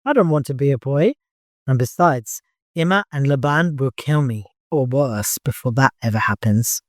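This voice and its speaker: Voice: medium pitch, normal speed, no room sound, clean studio sound, lightly acted. A narrator voicing a frightened, defiant young woman, earnest and emotional.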